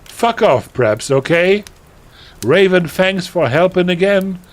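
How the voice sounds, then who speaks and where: A man voicing a hot-tempered, overdramatic teenage goth girl in a mock-dramatic fan fiction reading, with overwrought, shouty exclamations. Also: sits high, expressive, slow, pitched above the performer's own voice. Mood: gushing, bratty.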